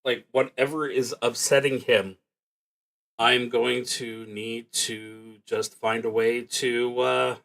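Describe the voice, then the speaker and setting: A man with a medium-pitched voice plays a solo roleplaying game and narrates it unscripted. His pace is very unhurried. He muses aloud, often halting and letting thoughts trail off before picking them up again. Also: level tone, gravelly, fairly bright tone, low-quality recording. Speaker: streamer playing a solo tabletop roleplaying game.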